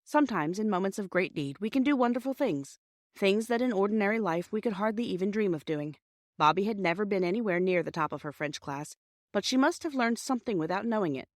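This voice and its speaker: A woman's voice: medium pitch, lively intonation, brisk, no echo. Woman reading a storybook, very dry sound. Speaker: audiobook narrator. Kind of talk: scripted storybook narration.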